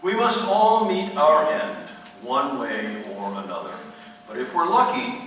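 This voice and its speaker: A man's voice: fairly high pitch, sharp tone, heavily acted. A man playing a haunted, bewildered dead writer in a radio play, with theatrical delivery and formal, old-fashioned phrasing. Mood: solemn. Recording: echoey hall from far off, faint hum.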